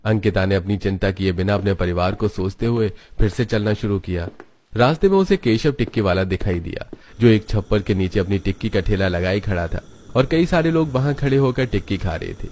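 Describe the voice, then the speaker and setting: Low-voiced man narrating a children's story. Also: lively intonation, fairly quick, darkish tone, a little hiss or hum.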